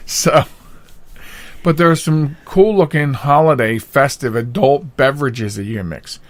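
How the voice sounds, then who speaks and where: A man's voice: mid-range voice, a little slow, dry recording. Philadelphia-area man, dry close podcast sound. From Delaware County, Pennsylvania, United States. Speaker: podcast host. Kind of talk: casual chat.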